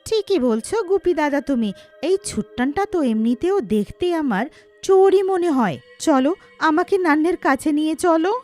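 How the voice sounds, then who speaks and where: A woman voicing a sharp-tongued, grumbling village wife in a cartoon, dramatic in manner. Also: sits high, animated, moderate pace, soft background music, pitched above the performer's own voice. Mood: sarcastic scolding. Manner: scolding, dramatic. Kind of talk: cartoon character acting.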